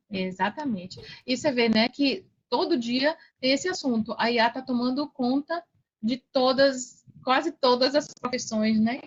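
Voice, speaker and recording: Female guest, Brazilian, fairly high voice, emphatic motivational talk with repeated phrases, dull online-call sound. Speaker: interview guest, digital entrepreneur. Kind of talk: casual motivational advice. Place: remote video call.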